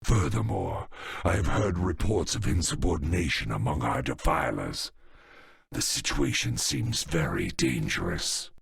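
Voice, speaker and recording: A man playing a grave, cautious alien adviser in a video game, gravelly, speaking in a measured, formal, precise way. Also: rumbling low, sing-song, a bit bright, heavily acted. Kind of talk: cinematic video game acting.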